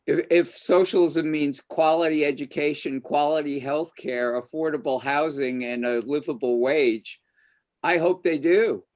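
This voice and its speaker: A man hosting an online panel discussion, talking in an animated, opinionated way and thinking aloud. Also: fairly high pitch, unhurried.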